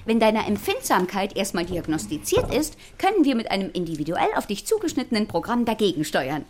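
An actress playing a flustered, nerdy expert lecturing children in stilted technical language in a radio play. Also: female voice, medium pitch, sing-song, a little fast, darkish tone, a little hiss or hum, close to an everyday voice. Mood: explaining. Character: flustered, nerdy woman expert teaching children about fear.

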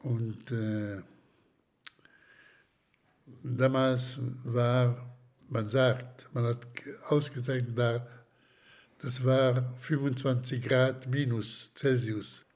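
Older man, halting testimony, very slow, frequent restarts. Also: mid-range voice, steady tone, a little mellow. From Slovakia. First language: German as childhood language, long unused. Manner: hesitant, many pauses and restarts, non-native grammar. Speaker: interviewee, survivor giving testimony.

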